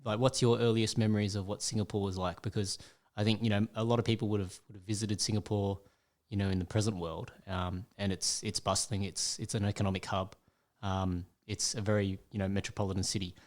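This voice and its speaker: A man hosts a podcast conversation with his father, keeping it casual. His voice sits a little on the low side. He steers the talk with prompting follow-up questions and often restarts his phrasing mid-sentence. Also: flat delivery, brisk, darkish tone.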